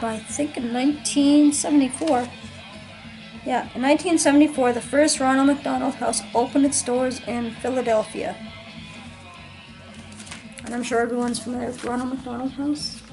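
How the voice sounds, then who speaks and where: A woman chatting casually about her record collection, rambling with many ums, her voice hoarse and tired late at night. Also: on the high side, a little mellow, poor audio. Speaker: vinyl collector vlogger. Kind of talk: casual show-and-tell vlog.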